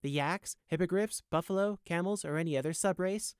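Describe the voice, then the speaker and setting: A man's voice: high voice, a little fast, smooth, no room sound, clean studio sound, voice pushed up, lightly acted. A man voicing a small, young male pony prisoner who talks in a flippant, needling, theatrical way in a storybook reading. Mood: sarcastic. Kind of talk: audiobook character acting.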